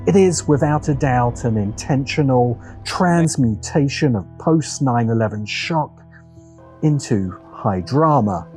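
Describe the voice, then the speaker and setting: Mellow, animated male video essay narrator. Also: mid-range voice. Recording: music behind the voice. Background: music.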